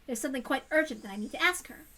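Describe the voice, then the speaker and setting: A woman's voice: high voice, animated, rapid-fire, sharp tone. A woman voicing a courteous but insistent child welfare official on the phone. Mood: polite firm.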